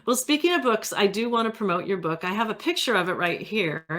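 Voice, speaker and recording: A woman's voice: mid-range voice, expressive, fairly quick, a bit bright. Chatty woman hosting an interview. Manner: chatty, informal. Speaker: interview host. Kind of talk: casual conversational interview.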